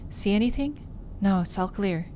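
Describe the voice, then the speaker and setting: A woman's voice: mid-range voice, lively intonation, normal speed, mellow, a little hiss or hum, close to an everyday voice. A woman voicing a frightened young survivor in a visual novel, with an anxious, urgent manner. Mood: wary.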